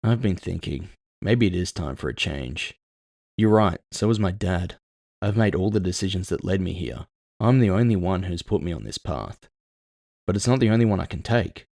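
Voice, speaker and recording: A man's voice: low-pitched, lively intonation, moderate pace, creaky, darkish tone, no echo, studio quality, exaggerated voice. A man playing a brooding, world-weary cyborg gunman, introspective and full of self-doubt, in an audio story. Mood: resigned, reflective.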